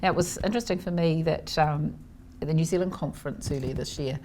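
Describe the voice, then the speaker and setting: A woman with a lowish voice hosts a podcast. She welcomes guests and keeps the talk friendly and chatty. Faint ambient noise lies underneath. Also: quick pace, mellow. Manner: friendly, chatty, with frequent agreeing murmurs. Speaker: podcast host. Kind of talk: conversational podcast interview. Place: conference venue.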